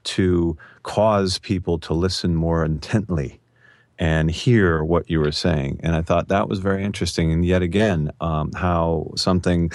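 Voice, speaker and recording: Radio guest, man, very deep voice, dry close mic, reflective quick talk. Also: fairly even intonation, darkish tone, no room sound. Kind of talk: casual radio chat.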